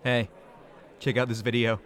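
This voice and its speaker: A man's voice: medium pitch, flat delivery, normal speed, heavy background noise, close to an everyday voice. A man playing a cocky, argumentative call-center worker in an audio comedy. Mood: amused, crude. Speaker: call center customer service agent. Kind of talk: audio fiction comedy acting.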